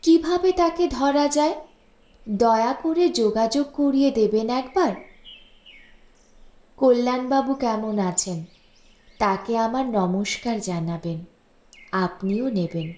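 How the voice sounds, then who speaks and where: A woman's voice: fairly high pitch, slow, pauses often, warm tone. A woman voicing a harried, polite housewife's letter, chatty and apologetic, in an audiobook story. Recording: echoey room, music bed underneath.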